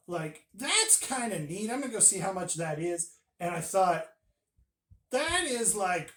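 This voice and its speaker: A man's voice: on the high side, roomy sound, far from the mic. A man voicing a goofy male felt puppet with no hands, co-hosting a casual vlog in a rambling, self-mocking way and breaking into song. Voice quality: masculine voice. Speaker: co-host. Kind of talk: casual joking chat.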